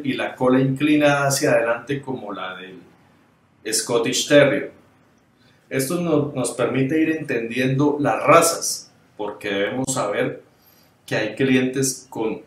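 Man teaching from a distance, echoey. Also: mid-range voice. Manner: explanatory, checks in with "¿listo?" and "¿sí?"; halting, repeats and corrects words. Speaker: dog grooming instructor. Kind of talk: online course lecture over slides. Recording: echoey room, voice off-mic.

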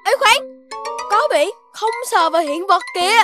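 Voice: very high-pitched, animated, a little slow, music in the background. A performer voicing a young cartoon puppy boy, childlike and exclamatory, with a southern Vietnamese accent. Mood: cautious. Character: young Dalmatian puppy boy, eager, dreams of heroism. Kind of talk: cartoon dubbing.

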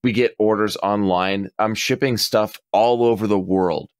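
Excited forty-something man, low voice. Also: unhurried, clear, resonant tone, no echo. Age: 40s. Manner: animated, swears casually, acts out customers' lines. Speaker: podcast co-host. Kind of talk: casual podcast chat.

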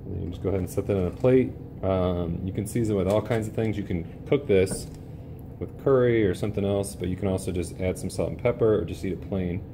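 A man with a very low voice walks through a cooking demonstration in a relaxed, explanatory way, leaning on casual fillers. He is recorded on a camera mic at an indoor bench, with a clear steady hiss of background noise under his voice. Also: fast. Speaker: teacher demonstrating a recipe.

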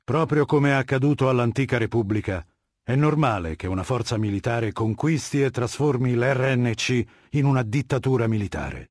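An actor playing a ruthless, cultured warlord dictator in a video game, with a husky voice and a commanding, condescending, articulate delivery. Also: male voice, medium pitch, no room sound, clean studio sound, natural voice.

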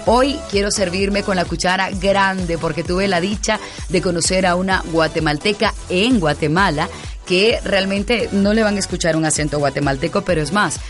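A woman with a fairly low voice hosts an interview podcast, greeting listeners and questioning her guest with effusive friendliness. Faint music plays under her voice. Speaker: podcast host.

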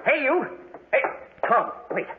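An actor playing a horrified, agitated heir to a gloomy house in 1940s radio acting style. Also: male voice, extremely high pitch, sing-song, takes it slowly, exaggerated voice. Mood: alarmed. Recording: noisy, phone-like 1940s radio recording.

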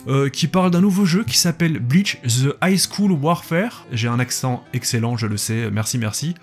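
Excitable slangy man over music. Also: medium pitch, slightly rough. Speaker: YouTuber presenting anime news. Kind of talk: casual news commentary to viewers.

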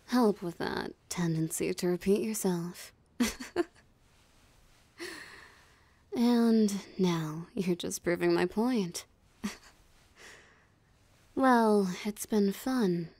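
Slow, gravelly, smug female vampire, acted by a woman. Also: medium pitch, dark tone, dry recording. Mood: dismissive. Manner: teasing, drawling, theatrically smug, breathy asides. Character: possessive, mocking female vampire toying with a hunter. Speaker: voice actor. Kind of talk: ASMR roleplay audio drama.

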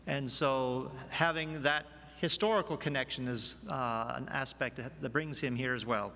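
A middle-aged man making a formal introduction as moderator, speaking a little haltingly. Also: medium pitch, creaky, pushed and bright, a little hiss or hum. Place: large church chapel, at lectern. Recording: echoey chapel, long reverb.

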